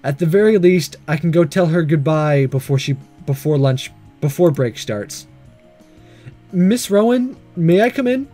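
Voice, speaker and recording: A man voicing an earnest, nervous, lovestruck male high school student in a visual novel, speaking hesitantly. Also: animated, unhurried, warm tone, soft background music. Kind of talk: reading visual novel dialogue aloud.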